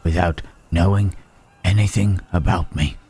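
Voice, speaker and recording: A man playing a gentle, melancholy Frankenstein's monster in an intimate ASMR roleplay, speaking haltingly and formally in a husky, breathy rasp. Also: deep voice, fairly even intonation, heavily acted. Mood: sorrowful, wondering. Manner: slow, halting, archaic formal phrasing with long pauses.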